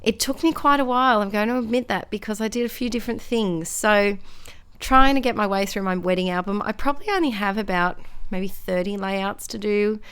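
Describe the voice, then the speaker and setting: Chatty Australian woman, slightly croaky. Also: medium pitch, fairly quick, fairly bright tone. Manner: chatty, rambling, self-deprecating. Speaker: scrapbooking vlogger. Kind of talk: casual crafting voiceover.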